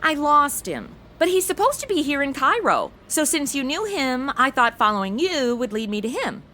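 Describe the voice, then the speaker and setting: A woman's voice: sits high, big pitch swings, normal speed, bright, forceful tone, a little hiss or hum, heavily acted. An actress playing a brash, flippant young woman in a comedy audio drama, full of modern slang and sass. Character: brash, sassy modern young woman stuck in radio shows.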